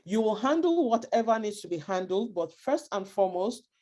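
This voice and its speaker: Nigerian lady giving parents advice, warm mellow voice, earnest and keeps hammering her points. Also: mid-range voice, lively intonation, moderate pace. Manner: earnest, emphatic, repeats key points. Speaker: speaker advising parents. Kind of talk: advice talk to immigrant parents.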